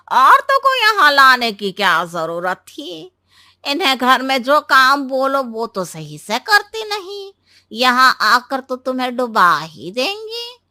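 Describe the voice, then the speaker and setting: A woman voicing a mocking, sexist village man in an animated moral story, loud and taunting. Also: high voice, no room sound, studio quality, full character voice.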